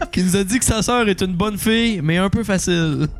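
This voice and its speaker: A man's voice: high-pitched, animated, a little slow, soft background music. A man with a Québécois French accent co-hosting a comedy podcast, delivering satirical mock news and often giggling at his own jokes. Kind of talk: satirical mock newscast with banter.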